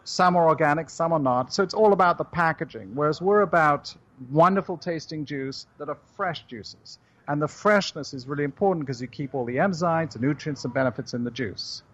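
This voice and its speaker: A man's voice: fairly high pitch, fairly quick, fairly bright tone. British-accented man on lo-fi radio. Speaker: company CEO guest. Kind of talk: radio interview answers.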